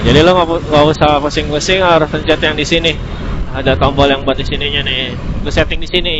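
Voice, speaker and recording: A man with a casual Jakarta-area Indonesian accent, explaining gear in a colloquial, rambling motorcycle vlog. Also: fairly high pitch. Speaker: motorcycle vlogger. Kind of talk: casual vlog review, explaining while riding. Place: outdoors on a motorcycle by a road. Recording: muffled, clearly noisy outdoor motorbike recording.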